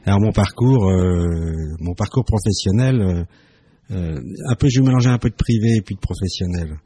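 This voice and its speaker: A man in his fifties from France, with a very low, husky voice and a mellow, dark tone. He talks hesitantly and thoughtfully on a live radio show, often pausing on fillers. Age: 50s. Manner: hesitant, many fillers, reflective. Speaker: radio guest.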